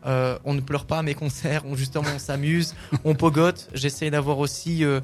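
French-speaking musician guy answering an interview, lots of ums, kinda monotone. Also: fairly high pitch, moderate pace. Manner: hesitant, many fillers and restarts. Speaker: singer-songwriter guest.

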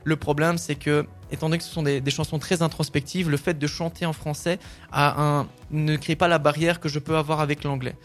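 A man's voice: on the high side, flat delivery, a little fast, soft background music. A French-speaking man, a singer-songwriter, answering interview questions casually with many fillers and restarts.

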